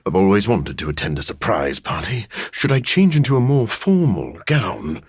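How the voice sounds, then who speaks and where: Gravelly, brooding vampire gentleman. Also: on the low side, big pitch swings, no echo, studio quality, heavily acted. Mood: dryly playful. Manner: formal, measured, wry and theatrical delivery. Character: brooding, dryly witty, gentlemanly male scientist vampire. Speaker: video game voice actor. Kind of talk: scripted video game character lines.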